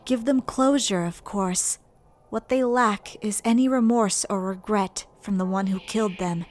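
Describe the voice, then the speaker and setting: A woman voicing a composed, solemn dead schoolgirl in a game dub, speaking in a measured, formal way. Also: medium pitch, lightly acted. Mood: grave. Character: solemn dead high school paranormalist girl ghost. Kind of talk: anime game fan dub acting.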